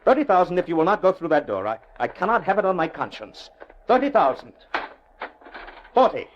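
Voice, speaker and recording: Anguished rich banker, wildly swooping voice. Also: male voice, high-pitched, sing-song, brisk, dull audio. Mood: desperate pleading. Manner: theatrical, rhetorical, swinging from musing to urgent pleading. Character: wealthy, anguished middle-aged male banker, condescending yet pleading. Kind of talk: old-time radio drama acting. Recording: noisy old radio drama recording.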